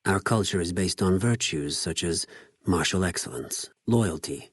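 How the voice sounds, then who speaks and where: An actor playing a cold, zealous, contemptuous legion spy in a video game, speaking formally with measured condescension. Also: male voice, low-pitched, breathy edge, no room sound, clean studio sound. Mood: cold reverence. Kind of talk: scripted video game character dialogue.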